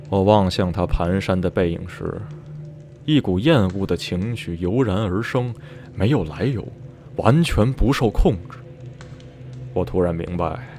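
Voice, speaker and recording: A man playing an uneasy survivor of a sinister town, recounting dreadful memories in measured, literary Mandarin for a radio drama. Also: deep voice, darkish tone. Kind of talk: radio drama acting, narrating in interview. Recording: music behind the voice.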